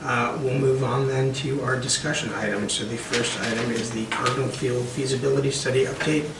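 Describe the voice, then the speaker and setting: A man chairing a school board meeting, moving briskly through agenda items. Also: medium pitch, fairly even intonation, vocal fry. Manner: brisk, informal with fillers. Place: meeting room. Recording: echoey room from far off, noisy.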